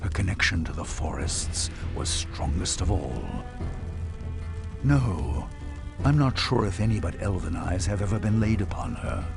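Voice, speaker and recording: An actor playing a very old, weary dwarf king in a video game, speaking gravely and with measured, regretful weight. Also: lowish voice, heavily acted. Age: older. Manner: grave, measured, brooding, dramatic pauses. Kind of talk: dramatic video game dialogue. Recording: music under the voice.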